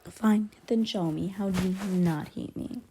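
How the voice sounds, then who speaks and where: A woman playing an aloof, grudgingly caring young werewolf in an ASMR roleplay, soft and breathy with whispered dips and blunt, guarded, halting delivery. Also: mid-range voice, a little hiss or hum, lightly acted. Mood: dismissive. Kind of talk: ASMR roleplay, intimate one-sided character dialogue.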